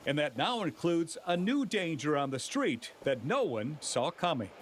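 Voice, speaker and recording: A male American reporter delivers scripted TV news narration in a slightly high voice. Clear ambient noise runs behind him. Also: sing-song, normal speed.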